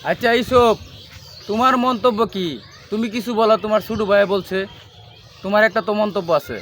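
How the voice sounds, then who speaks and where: A man with a Bangladeshi accent playing a brisk village council member mediating in a family drama. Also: high voice, a bit bright, a little hiss or hum. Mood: matter-of-fact.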